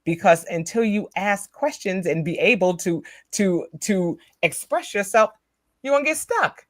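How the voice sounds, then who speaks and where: A soft-spoken woman co-hosting a spiritual live show, talking earnestly in casual chat and often restarting her phrases. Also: medium pitch, lively intonation, unhurried, no echo, studio quality. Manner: earnest, many small restarts.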